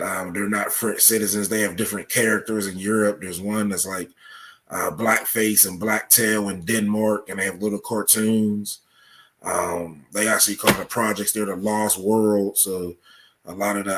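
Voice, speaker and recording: A man's voice: on the low side, a bit bright. A man answering questions as a podcast guest, explaining his points in a conversational way. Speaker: podcast guest, article author. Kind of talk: interview answers, explanatory talk.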